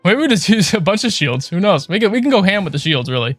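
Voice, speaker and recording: A man's voice: sits high, sing-song, fast, fairly bright tone, no echo. A man livestreaming a video game with casual, foul-mouthed, exasperated commentary. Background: video game music.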